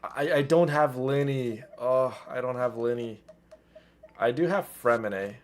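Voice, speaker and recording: A man's voice: medium pitch, measured pace, slight background noise. A man livestreaming a video game, chatting casually and exclaiming to his viewers as game music plays. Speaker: game streamer, host.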